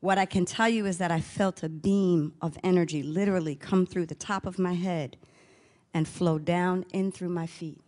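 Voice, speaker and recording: A woman giving an inspirational conference talk, measured and rhythmic, stacking words in cadenced lists. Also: lowish voice, steady tone, a little mellow. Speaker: conference speaker.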